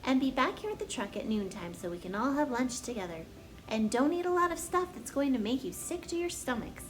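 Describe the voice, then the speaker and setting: A woman's voice: high-pitched, sing-song, a little fast, voice pushed up. A woman voicing a practical, easygoing farmer father who gives advice in a plainspoken, firm way. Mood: firm, advising.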